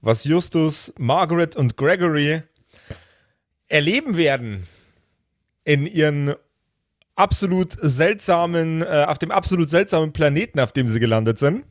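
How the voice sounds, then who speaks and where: A man with a somewhat high voice talks as a podcast co-host. He explains in an informal, stop-and-start manner. The recording is dry, with hardly any room around him. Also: lively intonation, measured pace, no room sound. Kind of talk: casual chat.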